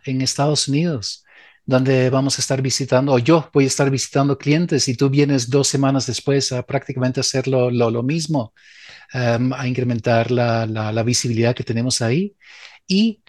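A man's voice: medium pitch, fairly quick, a little mellow, dry recording, clean studio sound. A man co-hosting a podcast who speaks in a casual, hesitant way, repeating words and using fillers. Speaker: podcast co-host. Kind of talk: casual conversation.

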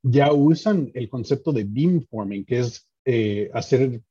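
A young man with a Costa Rican accent, explaining his work as an interview guest in a halting, think-aloud way. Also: mid-range voice, expressive, mellow, breathy edge, dull audio. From San Carlos, Costa Rica. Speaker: podcast guest, doctoral student in engineering.

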